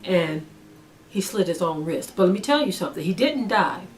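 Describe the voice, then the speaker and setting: A woman's voice: fairly low pitch, expressive, normal speed. A middle-aged woman preaching in an earnest sermon style, repeating phrases for emphasis.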